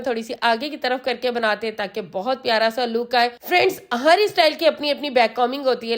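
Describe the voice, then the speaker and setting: A hoarse woman, patiently explaining a hairstyle step by step as a salon channel host, repeating the key steps. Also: on the high side. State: hoarse from a sore throat. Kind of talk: step-by-step hair styling tutorial.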